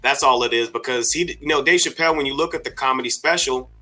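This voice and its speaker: Bright-voiced man chatting on a podcast. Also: mid-range voice, quick pace, faint music behind. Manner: meandering, many fillers and restarts. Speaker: podcast host. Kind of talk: casual discussion.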